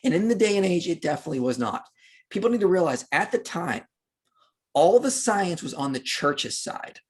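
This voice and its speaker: A man's voice: high-pitched, sing-song, normal speed, breathy edge. A man speaking as an interview guest, explaining history in unscripted podcast talk with an emphatic, argumentative manner.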